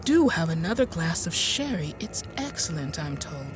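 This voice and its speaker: An actress playing a composed, sharp-tongued woman inventor in an audio drama, speaking in a measured, arch and deliberate way. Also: deep voice, very animated, over music. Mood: coolly recounting. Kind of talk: audio drama acting and first-person narration.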